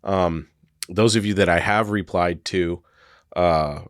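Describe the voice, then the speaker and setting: Hoarse low-voiced man hosting a podcast. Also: takes it slowly, no room sound, studio quality. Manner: rambling, full of fillers and hedges. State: hoarse, getting over a cold. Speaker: podcast host. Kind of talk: casual solo podcast talk.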